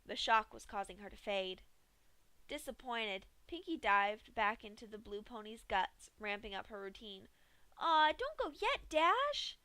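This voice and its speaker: A woman reading a horror fan story aloud in a steady, measured storytelling voice. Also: medium pitch, dry recording. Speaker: fanfic reader.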